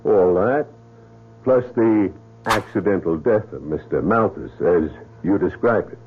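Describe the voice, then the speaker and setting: An actor playing a wry, matter-of-fact police detective in a 1970s radio drama. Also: male voice, low voice, unhurried, a little hiss or hum. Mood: laid-back. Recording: husky, tinny old radio broadcast.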